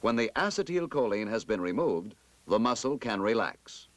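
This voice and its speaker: A man narrating a scripted 1960s military instructional film in a formal, emphatic, measured manner. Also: lowish voice, very animated, very noisy.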